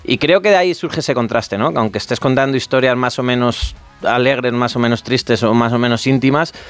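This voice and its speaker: A man's voice: medium pitch, brisk, clear, resonant tone, soft background music. A man with a central Spanish accent answering casually as a band musician in an interview, reflective and self-deprecating. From Spain.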